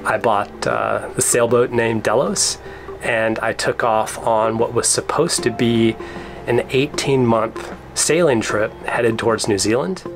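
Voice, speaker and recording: A man's voice: medium pitch, measured pace, creaky, music in the background. An American man talking casually and explaining things in an easygoing way, as a sailing vlogger on a tour. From Arizona, United States. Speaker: sailing vlogger and boat owner.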